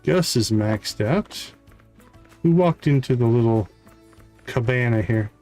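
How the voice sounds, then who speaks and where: A man's voice: medium pitch, expressive, slow pace, mellow, soft background music. A man doing casual live game commentary, thinking aloud and talking himself through each task step by step. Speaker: live game streamer, host.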